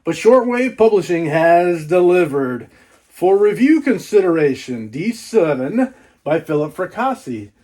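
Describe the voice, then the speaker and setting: A man's voice: high-pitched, big pitch swings, unhurried, a little mellow. A man doing a casual, folksy book unboxing vlog, rambling playfully with repeats and little asides.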